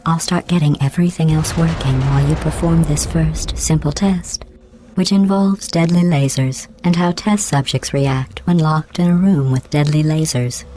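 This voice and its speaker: A woman voicing a sardonic female artificial intelligence in a video game, deadpan and falsely polite, with a synthetic robotic sound. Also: low voice, moderate pace. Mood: deadpan mockery. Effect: synthetic robotic voice processing. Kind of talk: scripted video game voice acting. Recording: echoey game audio, clearly noisy background.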